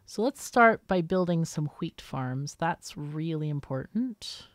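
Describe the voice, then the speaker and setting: A woman's voice: deep voice, animated, slow pace, no echo. A woman hosting a gaming video, casually thinking aloud and explaining as she plays. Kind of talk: casual gameplay commentary.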